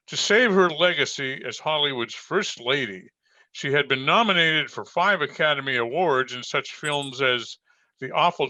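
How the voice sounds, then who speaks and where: A man's voice: fairly high pitch, bright, forceful tone, no echo. A man announcing and narrating an old-time radio show from a script. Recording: dull, narrow video-call audio.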